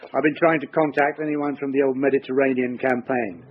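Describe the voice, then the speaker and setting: An actor playing a fond, fussing older father in a radio drama, with a creaky voice. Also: mid-range voice, steady tone, a little fast, lightly acted. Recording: tinny band-limited sound, faint noise.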